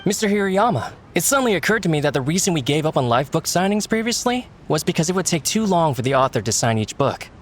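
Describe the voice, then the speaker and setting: A man's voice: on the high side, big pitch swings, fast talker, hardly any pauses, slight background noise. A man playing an earnest, deferential young assistant in a video game, eager and respectful. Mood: eager, relieved. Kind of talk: scripted game dialogue. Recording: faint music behind.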